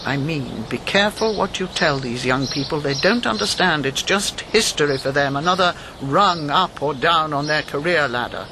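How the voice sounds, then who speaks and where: Guarded elderly English lady, low-voiced. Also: expressive, background noise. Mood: dry, grave. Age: older. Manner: measured, clipped, dry. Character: guarded, imperious elderly English lady, former wartime agent. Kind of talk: broadcast radio drama acting.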